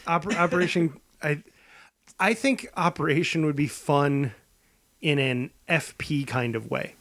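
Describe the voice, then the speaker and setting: Higher-voiced man brainstorming on a comedy podcast. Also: measured pace, fairly bright tone. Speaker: podcast co-host. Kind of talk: casual comedic banter, brainstorming.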